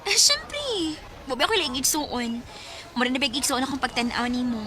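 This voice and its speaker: A young Cebuano woman in a Bisaya radio drama. Her voice is high-pitched and bright. She comes across as a devoted, needy girlfriend and daughter, speaking in everyday student talk. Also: expressive, normal speed. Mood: chatty, affectionate. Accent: Cebuano Filipino. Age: young adult.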